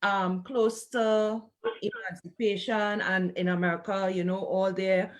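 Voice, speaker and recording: A woman from Trinidad, speaking in a community discussion in a hesitant, thoughtful way with many pauses. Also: medium pitch, flat delivery. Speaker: debate participant. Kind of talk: discussion argument.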